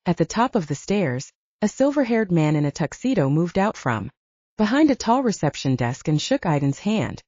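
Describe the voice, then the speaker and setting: A woman's voice: on the low side, big pitch swings, normal speed, dark tone, no echo, lo-fi sound. A woman reading a romance novel aloud as a scripted audiobook narrator.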